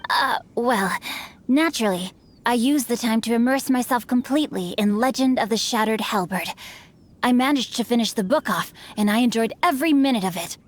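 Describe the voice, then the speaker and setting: A man's voice: very high voice, brisk. An actor voicing a bookish young swordsman who speaks in an eloquent, flowery way in a game. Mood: poised. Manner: eloquent, flowery, gushing about books. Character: bookish young male swordsman, refined and theatrical. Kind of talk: game dialogue.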